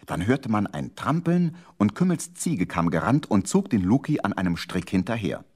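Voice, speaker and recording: A man's voice: on the low side, big pitch swings, moderate pace, darkish tone, breathy edge. A man narrating a children's radio play from the 1970s in a storytelling manner.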